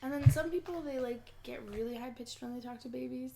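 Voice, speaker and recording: Young woman co-host, hoarse and wheezy from a cold, a bit high, gravelly, faint hiss. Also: a little fast. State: hoarse after being sick, wheezy. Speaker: podcast co-host, teacher. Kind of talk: casual chat between sisters.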